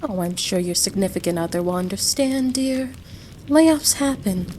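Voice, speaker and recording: A woman playing a caring, motherly American wife in a roleplay audio drama, gentle and reassuring, full of endearments. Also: medium pitch, animated, moderate pace, slight background noise, close to an everyday voice.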